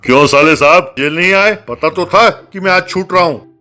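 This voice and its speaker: A man's voice: sits high, moderate pace, sharp tone. A man voicing a cocky, scheming thief fresh out of jail in a comedy cartoon. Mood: teasing. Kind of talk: Hindi comedy cartoon dialogue.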